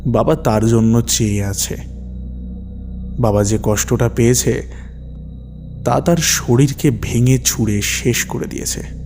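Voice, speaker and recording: A man narrating stories as a horror podcast host, in a measured, deliberate and dramatic way with pauses between short phrases. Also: mid-range voice, darkish tone. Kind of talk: slow dramatic storytelling narration. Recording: long reverb with music behind. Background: background music.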